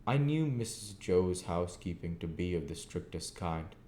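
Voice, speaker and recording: A man narrating a classic storybook as an amateur reader, with deliberate, slightly halting phrasing. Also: deep voice, expressive, dark tone. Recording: echoey room, faint background noise.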